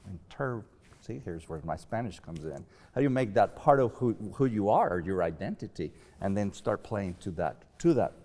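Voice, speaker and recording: Low-voiced man in an echoey hall. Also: darkish tone. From Mexico. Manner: thoughtful, with frequent restarts. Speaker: guest, professor. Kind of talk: conversational discussion. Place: large library reading room. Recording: echoey library reading room.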